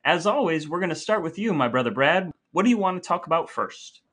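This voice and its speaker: Guy with a bright crisp-edged voice, chatting and telling stories on a podcast. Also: fairly high pitch, animated, brisk. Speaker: podcast co-host. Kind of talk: casual storytelling podcast talk.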